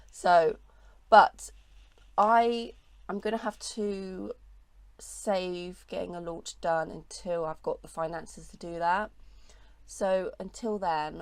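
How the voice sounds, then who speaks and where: A woman chats to camera about her art business, meandering and full of fillers. A lingering cold makes her sound stuffy and nasal. Her voice sits a little low, and the recording is dry and close. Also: no echo. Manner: rambling, many fillers and hedges. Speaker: small art business owner vlogging. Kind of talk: casual vlog chat to camera. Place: home studio.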